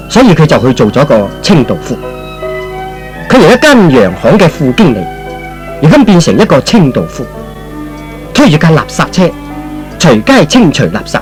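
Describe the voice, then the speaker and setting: A man narrating a scripted radio serial in a dramatic, expressive old storytelling style. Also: on the high side.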